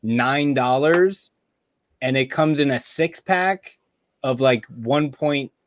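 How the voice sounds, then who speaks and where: A man's voice: medium pitch, slow. A man hosting a casual chat over beers on a video call, laid-back and joking, laughing easily. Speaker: podcast host. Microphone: computer mic without headphones, video call.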